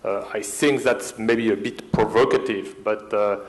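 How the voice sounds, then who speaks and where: A man speaking as a panelist, hesitant and filling pauses with many uhs. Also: fairly low pitch, fairly even intonation, poor audio. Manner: hesitant, many filler sounds. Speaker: panelist at a finance conference. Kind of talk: panel discussion.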